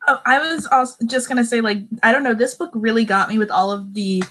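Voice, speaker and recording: Woman, fairly high voice, casual book discussion, informal panelist. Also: steady tone, a little fast, a bit bright.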